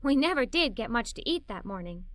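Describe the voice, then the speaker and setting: Children's storybook lead: curious, adventurous little girl, played by a woman, very lively and bright, expressive and playful. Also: on the high side, fairly quick, dry recording, studio quality. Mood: cheerful, excited. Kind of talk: scripted children's story read-aloud.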